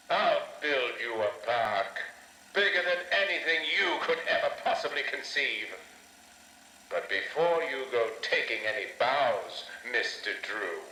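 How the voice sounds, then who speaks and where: An actor playing a pompous, bitter, proud builder of grand attractions, speaking theatrically in a game audio log. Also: male voice, medium pitch, bright, forceful tone, exaggerated voice. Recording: echoey old tape with music behind.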